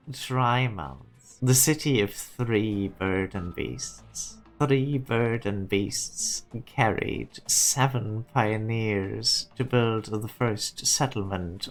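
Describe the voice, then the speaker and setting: A man playing an ambitious, proud self-made businessman, deliberate and speechifying, in a fan-dubbed game drama. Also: lowish voice, faint music behind, poor audio. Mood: proud, declarative. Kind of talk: scripted fan-dub game drama monologue.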